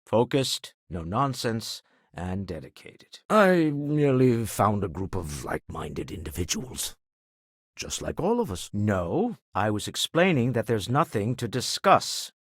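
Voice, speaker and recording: A wealthy older businessman for a video game. His voice is low and husky, moving expressively as he speaks in measured, refined phrases. He comes across as urbane, wry and composed. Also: big pitch swings, no room sound, clean studio sound, heavily acted. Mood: businesslike, wry. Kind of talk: scripted video game character dialogue.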